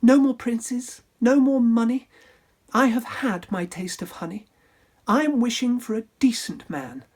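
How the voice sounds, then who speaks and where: A woman's voice: unhurried, a little mellow. A woman voicing Cinderella as a demanding young woman who whines and bosses. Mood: wary. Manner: whiny, shrill, bossy.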